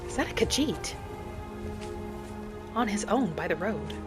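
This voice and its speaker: Casting: woman as gentle wood elf wanderer for fantasy game roleplay; mid-pitched, measured, reflective; clear music bed. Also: expressive, lightly acted. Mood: musing. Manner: musing aloud, measured, speaking in short reflective phrases. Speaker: roleplay gamer narrating in character. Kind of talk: in-character game roleplay monologue. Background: background music.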